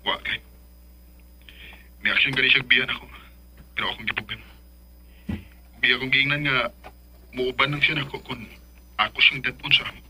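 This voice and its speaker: Radio drama: reassuring, assertive Cebuano man, medium pitch, extremely crisp, forceful edge. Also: fairly even intonation, normal speed, long pauses, poor audio. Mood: matter-of-fact. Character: male family acquaintance, reassuring and assertive. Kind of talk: Philippine radio drama acting.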